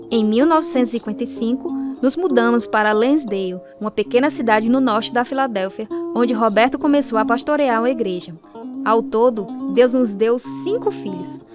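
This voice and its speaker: An actress playing a devout, thankful pastor's wife looking back on her life in measured radio drama narration. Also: fairly high pitch, natural voice. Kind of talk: scripted first-person radio drama narration.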